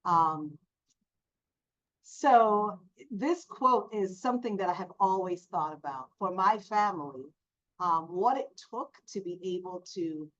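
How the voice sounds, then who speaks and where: A woman from Jamaica speaking as a panelist, reflective and measured, pausing and restarting. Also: fairly low pitch. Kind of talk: casual panel discussion. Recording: dull lo-fi audio.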